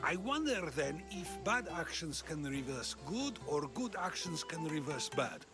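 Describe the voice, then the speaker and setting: A probing old Greek philosopher. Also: male voice, fairly high pitch, sing-song, a little slow. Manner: questioning, contemplative. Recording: studio voice with game music.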